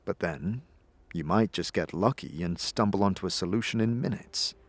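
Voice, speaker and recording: Lowish-voiced man narrating fantasy, highly expressive. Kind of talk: dramatic scripted second-person fantasy narration.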